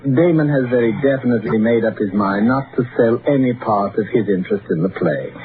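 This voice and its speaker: Male actor as scheming husband, suave, coaxing, mid-pitched, tinny noisy 1940s radio recording. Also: expressive, a little fast, close to an everyday voice. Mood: suave, businesslike. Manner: smooth, coaxing, leading questions. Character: smooth, controlling husband, a scheming theatrical schemer. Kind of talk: 1940s radio drama acting.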